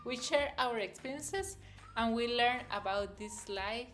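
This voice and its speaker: A woman host giving a steady, welcoming scripted introduction to her channel. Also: a little high, a little slow. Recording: light background music.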